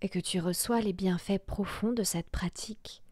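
A woman guiding a relaxation meditation in a soft, breathy, half-whispered voice, hushed and soothing, with long pauses. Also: medium pitch, fairly even intonation, no echo, clean studio sound. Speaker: yoga nidra guide.

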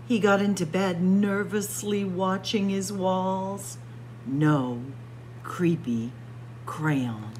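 A woman reading a picture book aloud in a dramatic, suspenseful story-time style, sometimes hushed for emphasis. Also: mid-range voice, drawn-out words, a little hiss or hum, rough recording. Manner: suspenseful, expressive, drops to hushed whispers for emphasis. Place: indoor library room with bookshelves and windows.

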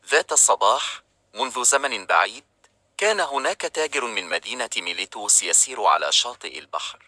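Man reading a storybook, bright and dry. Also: medium pitch, animated, brisk, no room sound. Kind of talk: scripted storybook narration.

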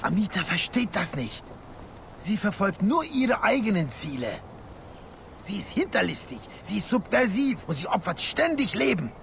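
Intense, gravelly rebel leader. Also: male voice, high voice, big pitch swings, moderate pace, slight background noise, exaggerated voice. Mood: heated, insistent. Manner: forceful, accusing, swinging from hushed to loud. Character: intense, reproachful male rebel leader, driven by tradition. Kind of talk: dramatic video game acting in German dub.

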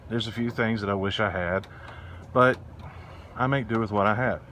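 A middle-aged man hosting a woodworking channel, giving a relaxed, rambling tour of his porch workshop. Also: on the low side, moderate pace, a little hiss or hum. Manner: relaxed, rambling, with restarts and fillers. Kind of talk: casual shop tour. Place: outdoor front porch workshop under a canopy.

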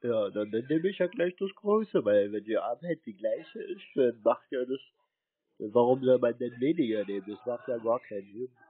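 Man, husky, lively pitch swings, deadpan naive delivery, telephone-quality sound. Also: normal speed, no echo. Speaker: prank caller, scam baiter. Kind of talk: deadpan prank phone call.

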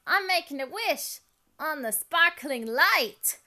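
A woman's voice: sits high, animated, slow pace, sharp tone. A woman reciting scripted lines for a homemade fan video. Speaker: video creator.

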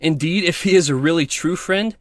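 A man's voice: a little high, a little fast, dry recording, natural voice. A man playing a self-important, selfish miller who preaches about friendship in a pompous manner, in an audio storybook drama. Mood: pompous, preachy. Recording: faint background noise.

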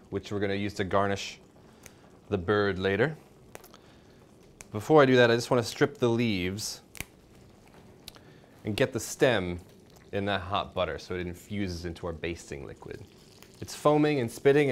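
A young man hosts a cooking demonstration in a kitchen. His voice sits at a middling pitch. He explains things step by step in informal, practical language. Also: a little hiss or hum. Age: young adult. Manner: informal, instructional. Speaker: cooking show host. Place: indoor home-style kitchen.

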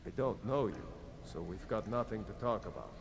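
An actor playing a surly, guarded tavern keeper in a fantasy game, curt and unwelcoming. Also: male voice, on the low side, very animated, moderate pace, vocal fry, in a large hall, a crowd in the background. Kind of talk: fantasy video game acting.

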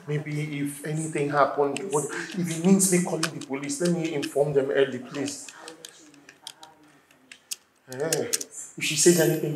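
A man's voice: fairly high pitch, noisy background, natural voice. A man with a Nigerian accent acting an anxious, stammering husband in a screen drama, veering between pleading and explosive. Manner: stammering, repeats words, switches from apologetic to insulting. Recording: roomy echo, music underneath.

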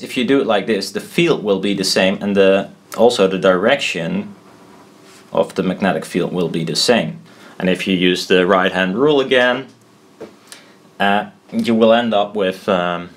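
A man giving an informal tutorial at a desk, thinking aloud in a halting, self-deprecating way. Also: low voice. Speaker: presenter explaining loudspeaker technology. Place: indoors at a desk.

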